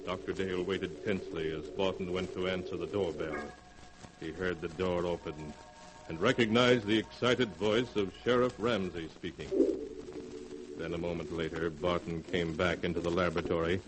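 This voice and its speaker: A man's voice: deep voice, fairly even intonation, moderate pace, rough recording. A man narrating an old radio horror drama in a solemn, ominous, dramatic manner. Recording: hissy old 1940s radio recording.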